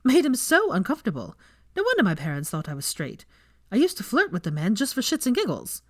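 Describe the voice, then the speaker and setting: A woman's voice: on the high side, huge pitch swings, brisk, no echo, clean studio sound, voice pushed up. A woman voicing a brash, foul-mouthed young witch in audiobook dialogue, emphatic and exasperated. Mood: amused.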